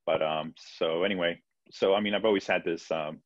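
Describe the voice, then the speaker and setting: Man in his 30s, physician guest, deep husky voice, halting speech full of fillers, dull video call audio. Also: steady tone, no room sound. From Peru, raised in Florida. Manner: hesitant, many fillers and restarts. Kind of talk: casual interview answers. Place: home room, video call.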